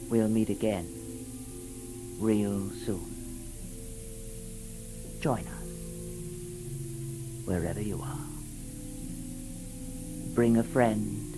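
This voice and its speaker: Guy doing creepy sardonic horror show host, low voice, draggy theatrical delivery over music. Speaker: host of a horror story program. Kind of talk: theatrical radio host sign-off. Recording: music behind the voice.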